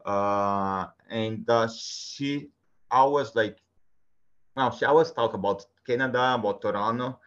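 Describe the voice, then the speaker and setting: A man from Brazil with a Brazilian Portuguese accent, answering interview questions in a halting way with many fillers. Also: mid-range voice, a bit bright. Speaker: guest. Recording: dull lo-fi sound.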